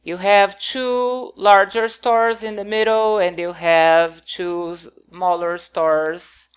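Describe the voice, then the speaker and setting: A woman's voice: medium pitch, little pitch movement, takes it slowly, smooth, highs cut off. A woman whose first language is not English, talking casually over her game build in a hesitant, rambling way with many fillers.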